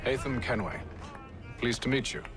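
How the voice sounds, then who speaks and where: An actor playing a composed, inquisitive gentleman in a video game, speaking formally and politely. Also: mid-range voice, moderate pace, over music, lightly acted. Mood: polite, probing. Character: composed, inquisitive gentleman investigating aboard a sailing ship. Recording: faint background ambient noise.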